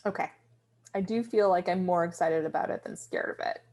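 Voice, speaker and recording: A woman's voice: fairly low pitch, brisk, darkish tone, lightly acted. A woman playing a nervous, sardonic young office worker in a screenplay table read, speaking in a casual, wry way. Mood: wry, tentative.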